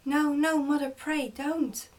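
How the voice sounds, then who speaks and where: A woman's voice: high voice, steady tone, takes it slowly, darkish tone, far from the mic, pitched above the performer's own voice. A woman voicing a young goblin son from a storybook, whiny and pleading with his mother.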